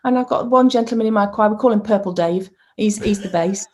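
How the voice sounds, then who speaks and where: A woman's voice: medium pitch, fairly quick. Chatty woman, singing teacher. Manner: animated, laughs often, chatty with restarts. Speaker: singing teacher and choir leader. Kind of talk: casual conversation.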